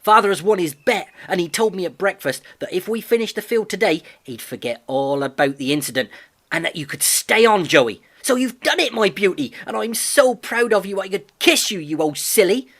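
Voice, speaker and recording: A man's voice: sits high, lively intonation, moderate pace, pitched above the performer's own voice, heavily acted. A man voicing an eager teenage farm boy. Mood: proud. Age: 15 (figure). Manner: warm, earnest, boyish enthusiasm. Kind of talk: audiobook character voice.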